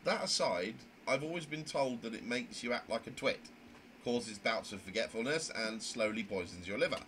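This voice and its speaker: A man's voice: mid-range voice, lively intonation, normal speed, fairly bright tone, slight background noise, natural voice. A man voicing a naive, chatty, prim young newcomer who narrates a visual novel in a wordy, rambling way. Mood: disapproving, wary. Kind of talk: amateur visual novel voice acting, first-person narration. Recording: music under the voice. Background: music.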